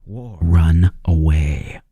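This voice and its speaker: A man playing a brooding, domineering chemist haunted by guilt, speaking deliberately and repetitively in an old-time radio horror drama. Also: low-pitched, mellow, slightly rough, no room sound, exaggerated voice.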